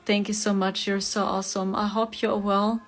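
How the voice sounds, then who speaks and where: German woman chatting flatly on a livestream. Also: mid-range voice, normal speed. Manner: answers viewer comments, laughs often, warm greetings. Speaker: spiritual reader, livestream host. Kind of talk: casual livestream chat.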